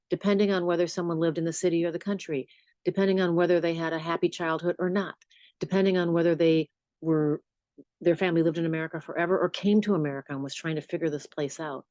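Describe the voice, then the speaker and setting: A middle-aged woman journalist with a low voice, answering interview questions in a storytelling manner with animated asides. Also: no room sound, clean studio sound. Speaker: journalist and podcast host.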